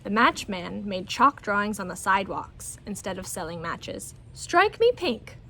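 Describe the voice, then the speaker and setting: A woman reading a children's story aloud in an expressive storytelling style. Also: medium pitch, normal speed, slight background noise.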